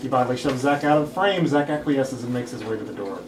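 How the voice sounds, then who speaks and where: A man's voice: mid-range voice, fast talker. A man reading scripted stage directions aloud at a table read. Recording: roomy, distant mic, clear background noise.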